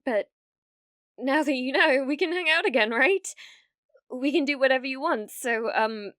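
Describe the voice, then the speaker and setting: A British woman playing a desperate, delusional, lovesick streamer in an ASMR roleplay, pleading haltingly and slipping into breathy whispers. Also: high-pitched, fairly bright tone, no room sound, clean studio sound. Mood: eager, pleading.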